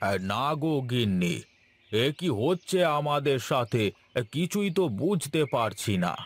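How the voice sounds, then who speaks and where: A man's voice: mid-range voice, sing-song, unhurried. A man voicing a lazy, easily flustered village landowner in a cartoon, with a blustering, exclamatory delivery. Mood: alarmed, fretful. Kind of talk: Bengali cartoon voice acting.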